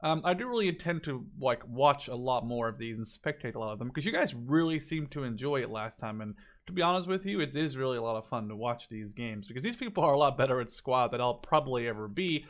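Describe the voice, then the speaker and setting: Male game spectator-commentator, medium pitch, informal and off the cuff, talking through what he watches. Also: lively intonation, quick pace, hardly any pauses, fairly bright tone. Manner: informal, thinking aloud, mildly profane. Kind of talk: casual unscripted gameplay commentary.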